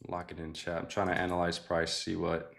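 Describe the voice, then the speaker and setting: A young man hosting a live trading stream, chatting casually with viewers and thinking out loud, often dropping to a quiet mutter. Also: deep voice, monotone, a little fast, creaky, slight background noise. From Mexican family. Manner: laid-back, thinks aloud, often mutters quietly to himself. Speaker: livestream host trading futures.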